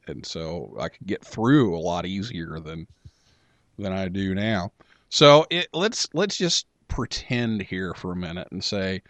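Low-voiced man hosting a talk show. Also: no room sound. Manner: chatty, many filler sounds. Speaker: radio talk show host. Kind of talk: casual interview chat.

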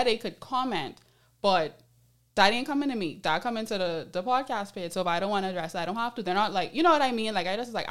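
Female podcast host, Bahamian accent, candid and animated storyteller, casual dialect phrasing, mid-range voice. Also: mid-range voice.

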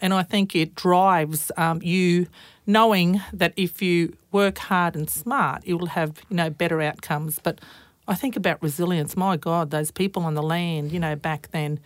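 A middle-aged woman speaks thoughtfully as an interview guest, her voice sitting a little low. She talks earnestly with easy fillers, and the sound is dry and close. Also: steady tone, a little slow, dry recording. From Australia. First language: Croatian. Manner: thoughtful, earnest, frequent fillers and soft laughs. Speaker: interview guest, police officer. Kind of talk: reflective interview conversation.

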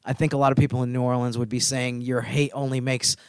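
A man talks sports as a podcast co-host in a close, dry recording. His pitch sits in the middle, and he explains things in a measured, slightly hesitant, conversational way. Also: flat delivery, clear, resonant tone, no echo. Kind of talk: casual sports chat.

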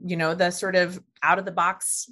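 Woman, middle-aged, interview guest, oncologist, rapid and animated, low-pitched for a woman. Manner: warm-hearted, quick and animated, rushing through phrases. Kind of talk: conversational interview answers.

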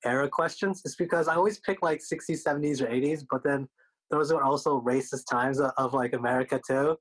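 A man's voice: medium pitch, a little fast, a bit bright, poor audio. A man whose first language is Korean, a guest speaking loosely and jokingly, full of "like" and "you know". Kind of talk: casual interview chat.

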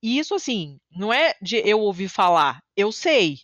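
A woman's voice: normal speed, no echo, dull audio. A woman from Rio de Janeiro, chatting casually and animatedly, swearing and poking fun at herself. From Rio de Janeiro, Brazil. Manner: animated, swearing, self-mocking, jumps to high pitch when excited. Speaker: podcast guest living in Italy. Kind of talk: casual conversation.

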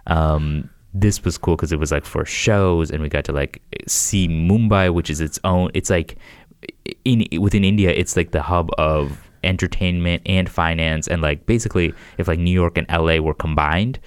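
Young guy with a really low smooth voice, rambles, lots of "like", dry close sound. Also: normal speed, dry recording. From United States. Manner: rambling, restarts, frequent "like". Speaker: comedian guest. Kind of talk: casual podcast chat.

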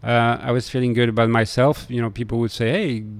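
A middle-aged man from France with a French accent, telling stories in an interview in a halting way, often hesitating and repeating words. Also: medium pitch, fairly even intonation, clear, resonant tone. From Lille, France. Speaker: restaurateur being interviewed. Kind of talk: conversational interview storytelling.

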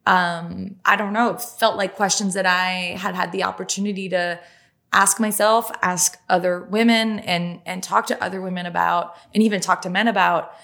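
A woman's voice: lowish voice, steady tone, gravelly, fairly bright tone. A woman with a French Canadian accent, a native French speaker, talking casually as an interview guest and filling her sentences with "like". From Quebec, Canada. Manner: chatty and quick, heavy use of "like" fillers. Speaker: journalist and author, guest.

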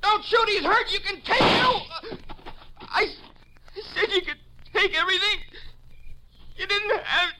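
A man's voice: extremely high pitch, takes it slowly, pauses often, bright, forceful tone, heavily acted. A man acting a frightened trucker who pleads in a 1950s radio drama. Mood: panicked, pleading. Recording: very noisy old radio drama recording.

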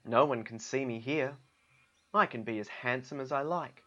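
A man voicing an innocent, wistful storybook bunyip who speaks slowly and earnestly. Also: mid-range voice, a bit bright, muffled recording, close to an everyday voice. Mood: quietly resolved. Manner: slow, earnest questioning.

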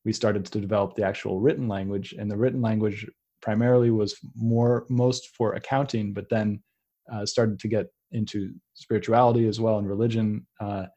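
A young man with a somewhat low voice hosts a relaxed podcast conversation. He drifts from thought to thought, restarting sentences and circling back as ideas come to him. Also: normal speed. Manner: rambling, many restarts and tangents. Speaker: podcast host. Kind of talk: casual conversational interview.

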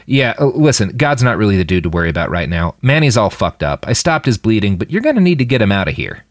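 A man's voice: fairly low pitch, big pitch swings, fast talker, no echo, studio quality, voice pushed up. A man voicing a casual, profane, cheerfully callous post-human fighter in an audiobook story. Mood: brisk, casual. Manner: casual, profane, sardonic, offhand. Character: gruff irreverent blade-armed post-human male fighter, cheerfully callous. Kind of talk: fiction audiobook character voice.

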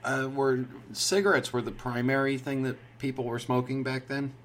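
A man's voice: medium pitch, fairly even intonation, normal speed, fairly bright tone. A man hosting a podcast and interviewing a guest in a casual, friendly manner. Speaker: podcast host.

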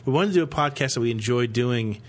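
A man co-hosts a podcast, recalling episodes in easy chatter with dry humor. He talks quickly, words tumbling out. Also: medium pitch, slight background noise. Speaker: podcast co-host. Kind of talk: casual banter.

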